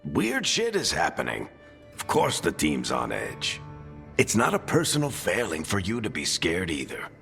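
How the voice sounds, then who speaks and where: Gruff older male game mentor, very low and gritty, steady and wry, long reverb. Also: normal speed, soft background music, heavily acted. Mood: calm, reassuring. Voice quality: gravelly. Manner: steady, plain-spoken, wry. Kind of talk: dramatic video game acting.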